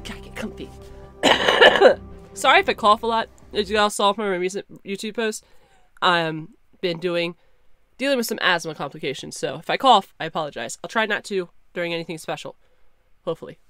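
A young woman live-streaming from a home room, chatting excitedly with her viewers through a headset. Her voice is slightly hoarse and swings in lively pitch. The sound is dry, with faint music behind. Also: a little fast, no echo. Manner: chatty, excitable, talks to chat. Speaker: game streamer. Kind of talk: casual live-stream chat.

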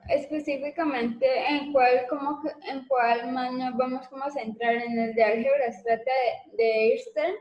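A woman's voice: high-pitched, steady tone, normal speed. A woman speaking informally as a student, asking questions in an online class. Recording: muffled, echoey online class call.

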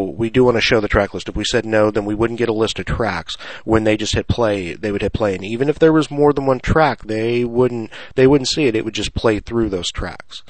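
A man's voice: on the low side, dry recording. A man presenting an unscripted screencast tutorial, explaining things casually, step by step.

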